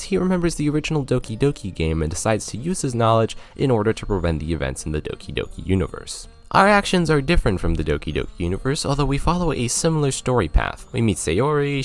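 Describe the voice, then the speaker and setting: A man narrating a scripted video game review, with sarcastic, joking asides. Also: mid-range voice.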